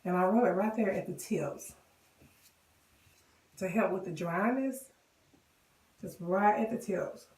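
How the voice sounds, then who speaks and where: Hoarse woman chatting in an echoey bathroom. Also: medium pitch, slow pace, long pauses, darkish tone, distant mic. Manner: chatty, addresses viewers directly. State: hoarse from a cold. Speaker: wig styling vlogger. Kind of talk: casual tutorial talk.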